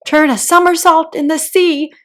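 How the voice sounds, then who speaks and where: A woman voicing a sentimental, dramatic male mock turtle from a storybook, with expressive, drawn-out delivery. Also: very high voice, pitched above the performer's own voice. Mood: excited.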